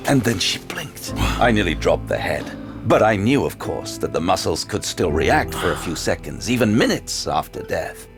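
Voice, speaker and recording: Deep, creaky-voiced haunted detective. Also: male voice, big pitch swings, normal speed. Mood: grieving, exhausted. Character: haunted, wounded male detective narrating a grim horror thriller. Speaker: narrator and lead character. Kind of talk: first-person dramatic audio drama narration. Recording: loud music bed throughout.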